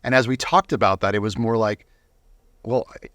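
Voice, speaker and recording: A man speaking casually in a conversational interview, telling things emphatically with some halting phrasing. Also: fairly low pitch, fairly even intonation, fairly bright tone, no room sound, studio quality.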